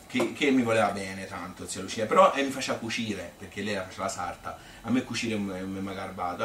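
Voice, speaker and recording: A man with a Tuscan accent playing a nostalgic, cheerful old grandmother in a chatty, halting stage monologue. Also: fairly low pitch, fairly bright tone, roomy sound, recorded from a distance, slight background noise, natural voice. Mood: wistful, tender. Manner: colloquial, halting, repeating phrases, chatty. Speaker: solo stage storyteller. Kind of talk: theatrical monologue as spontaneous spoken reminiscence.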